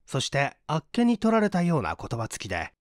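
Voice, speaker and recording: An audiobook narrator reading literary fiction expressively, with measured, careful diction. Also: mid-range voice, wildly swooping pitch, no echo, clean studio sound.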